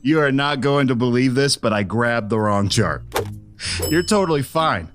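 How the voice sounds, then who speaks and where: A man's voice: mid-range voice, expressive, normal speed, music in the background, close to an everyday voice. A man voicing a careless, cheerfully tactless cartoon doctor with a flippant, casual manner. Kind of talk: cartoon voice acting.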